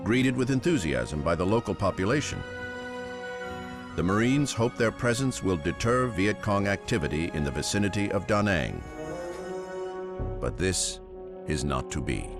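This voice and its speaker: A man playing a grave, formal war documentary narrator in a game, speaking in measured scripted lines. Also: sits low, big pitch swings. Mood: solemn, measured. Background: music.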